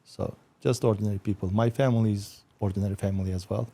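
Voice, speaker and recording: Mellow-voiced man, plainly spoken. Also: lowish voice, fairly even intonation, fast, vocal fry, breathy edge. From Turkey. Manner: plain, modest, short answers. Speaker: guest, company founder. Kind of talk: casual interview chat.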